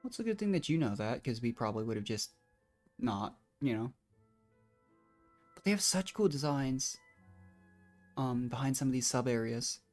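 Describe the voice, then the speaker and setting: Casting: woman, notably deep voice, relaxed-format gameplay commentary, musing delivery, music in the background. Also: normal speed, pauses often. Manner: musing, thinking aloud. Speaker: game streamer. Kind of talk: casual livestream gameplay commentary.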